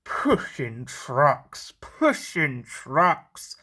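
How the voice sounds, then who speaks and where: A woman's voice: rumbling low, very animated, drawn-out words, pushed and bright, pitched below the performer's own voice, heavily acted. A woman voicing a sulky, fearful male steam engine in a children's storybook, whining and wailing. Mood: sulky muttering.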